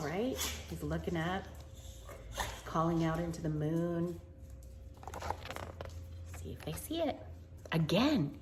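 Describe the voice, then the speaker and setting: An American woman reading a storybook aloud to children in an informal, chatty and expressive way. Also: mid-range voice, a little hiss or hum. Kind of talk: storybook read-aloud with casual asides to children.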